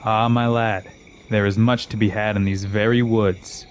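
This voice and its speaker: A man playing a scheming exiled old king in a fantasy audio drama, speaking in a slow, grandiose, coaxing manner. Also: fairly low pitch, level tone, a little hiss or hum, natural voice, rough recording. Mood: seductive, coaxing.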